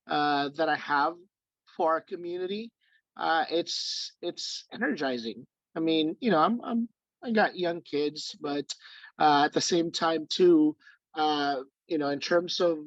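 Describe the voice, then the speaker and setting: A man's voice: a little high, no room sound, muffled recording. Filipino-born man talking on a video call. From Philippines. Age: 40s. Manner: hesitant, many fillers and restarts. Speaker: treasurer of a community organization. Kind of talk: casual video call remarks. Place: home room.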